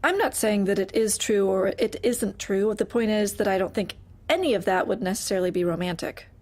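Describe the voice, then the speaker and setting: An actress playing a guarded, meekly defensive employee in a dark comedy audio drama, choosing her words carefully. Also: mid-range voice, brisk, natural voice. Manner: careful, hedging, literal-minded.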